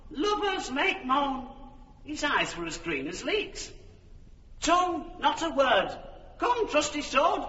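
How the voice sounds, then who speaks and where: An actor playing a clumsy workman who acts the maiden Thisbe, wailing melodramatically in a falsetto in a classic radio drama. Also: sharp tone, heavily acted. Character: male actor playing Thisbe, a lovesick maiden, shrill and overwrought. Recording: roomy, distant, dull broadcast recording.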